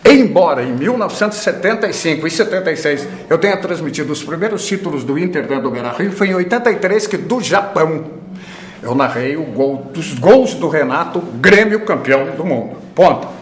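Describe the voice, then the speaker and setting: A man's voice: on the high side, expressive, fairly quick, reverberant. A man in his sixties, a veteran sports radio announcer, recalling his career with emphatic pride. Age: 60s. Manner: proud, emphatic storytelling. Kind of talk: interview recollection.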